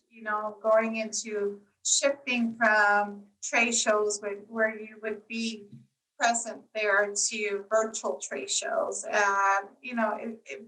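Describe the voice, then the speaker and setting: A woman's voice: mid-range voice, pushed and bright, far from the mic, low-quality recording. A woman from Costa Rica who owns a tourism marketing agency, answering interview questions in a chatty way with lots of "you know.".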